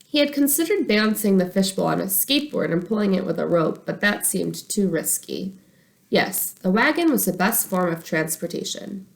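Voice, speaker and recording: A woman reading a children's chapter book aloud as an expressive narrator. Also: mid-range voice, normal speed, creaky. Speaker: storybook reader.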